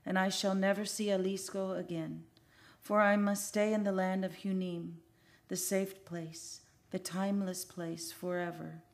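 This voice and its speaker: A woman's voice: mid-range voice, little pitch movement, unhurried, a little mellow, natural voice. A woman playing the lovelorn ghost of a Spanish sea captain's daughter in an old-time radio drama, with solemn, archaic delivery. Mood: mournful. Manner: solemn, archaic, declamatory phrasing.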